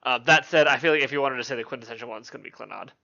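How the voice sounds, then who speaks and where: A man in a casual podcast discussion, talking in an animated, opinionated way. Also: mid-range voice, racing, crowd noise. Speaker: podcast guest. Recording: dull lo-fi sound.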